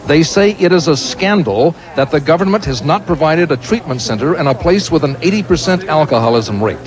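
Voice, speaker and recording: A man reporting from the field as a news correspondent in a steady, clear reporting voice. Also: a little high, fairly quick, a crowd in the background.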